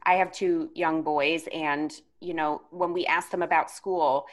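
Woman podcast host, lowish voice, super enthusiastic and gushing. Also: fairly even intonation, unhurried. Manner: effusive, enthusiastic. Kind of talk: casual interview talk.

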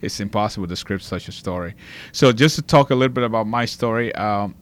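A man's voice: on the low side, a little fast. A man from Liberia in his forties, a guest in a studio, earnestly telling his life story with a strong faith focus.

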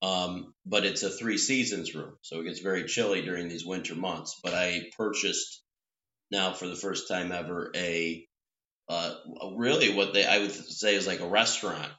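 A man's voice: low-pitched, fairly even intonation, moderate pace, sharp tone. A man co-hosting a podcast from his home patio room, rambling and joking in casual chat with frequent restarts. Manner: rambling, self-interrupting, playful. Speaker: podcast co-host. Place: three-season back patio room at home. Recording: echoey room, dull lo-fi sound.

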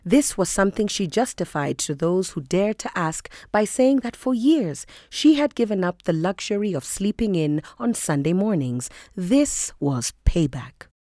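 A woman's voice: mid-range voice, sing-song, measured pace, few pauses, warm tone, no echo, clean studio sound. A woman reading scripted literary narration for audio fiction.

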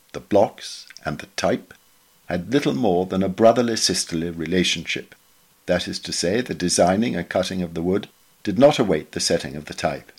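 A man reading a written memoir aloud as scripted narration, in a measured, formal manner with precise diction. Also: low-pitched, husky. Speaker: podcast reader.

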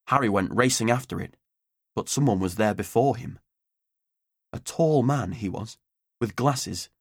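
A man narrates an audiobook in a low voice. The delivery is scripted storytelling, and the recording is dry and close, with no room sound. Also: dry recording.

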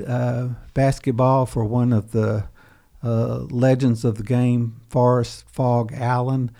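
Older man with a dark, gravelly voice. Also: medium pitch. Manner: unhurried, storytelling, with many pauses and fillers. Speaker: history professor, author and guest. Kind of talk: storytelling interview answers.

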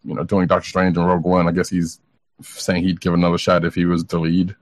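A man's voice: deep voice, monotone, quick pace, no echo. A man co-hosting a podcast, chatting casually about entertainment news in a tentative, hedging way. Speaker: podcast co-host. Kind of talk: casual comic book news chat.

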